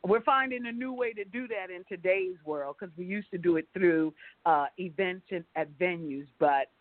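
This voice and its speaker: A woman's voice: fairly low pitch, normal speed, no echo. Woman guest on a tinny phone line. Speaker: interview guest, company founder. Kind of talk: casual conversational storytelling.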